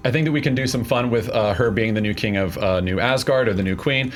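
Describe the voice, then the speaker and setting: Man arguing his case, mid-pitched voice. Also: fast talker, faint music behind. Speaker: debate show contestant. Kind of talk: casual argumentative chat.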